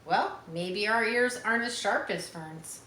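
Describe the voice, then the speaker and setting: A woman's voice: medium pitch, measured pace, pushed and bright, echoey room, a little hiss or hum. A woman reading the part of an easygoing, amused farm father in a storybook, with a reassuring manner.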